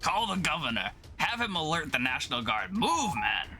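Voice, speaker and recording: A man acts out a jumpy, colloquial working-class guy in a comic-book drama. His excitable voice swoops wildly, with music behind him. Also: a little high, moderate pace. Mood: urgent, puzzled. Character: jumpy working-class everyman, cabbie or cop.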